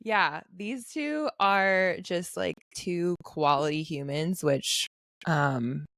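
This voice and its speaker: Woman, podcast host, low voice, giggly, teasing, dry close sound. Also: slow pace, fairly bright tone, dry recording. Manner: giggly, teasing, laughs often. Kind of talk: casual podcast chat.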